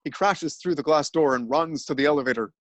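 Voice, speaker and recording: A man narrates an absurdist tale with deadpan, mock-dramatic gravity. His voice sits a little high and the recording is dry and close. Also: a little fast, no room sound.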